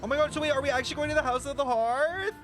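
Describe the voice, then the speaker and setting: A young man streaming casual, playful game reactions, excitable and slangy with plenty of swearing. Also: very high-pitched, rapid-fire. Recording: game music behind.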